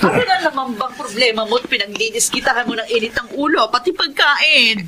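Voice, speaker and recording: An actress playing a long-suffering but defiant Filipino wife in a melodramatic radio drama, emphatic and reproachful. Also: female voice, a little high, animated, fairly quick, crowd noise, lightly acted. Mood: exasperated, scornful.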